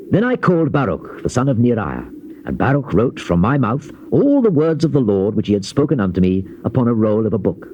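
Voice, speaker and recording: An actor as a solemn prophet, sweeping intonation. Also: male voice, mid-range voice, warm tone, lightly acted. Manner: declamatory, measured, grave. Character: solemn male Old Testament prophet recounting God's warnings. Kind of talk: dramatized King James scripture reading. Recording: dull noisy old cassette tape.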